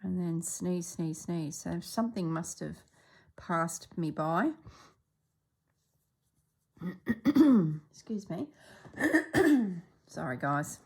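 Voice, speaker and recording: A middle-aged woman from Australia chatting casually as she stitches, a little congested and clearing her throat, sometimes dropping to soft murmurs. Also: on the low side, very slow, long pauses. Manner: chatty, relaxed, drops into soft murmurs while working. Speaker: stitching vlogger. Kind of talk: casual chat while stitching.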